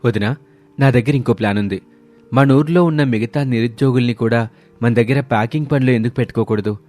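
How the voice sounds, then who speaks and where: A man's voice: medium pitch, quick pace, a little mellow, close to an everyday voice. A man voicing a supportive, enterprising adult brother in a moral story. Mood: eager. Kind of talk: moral story character acting.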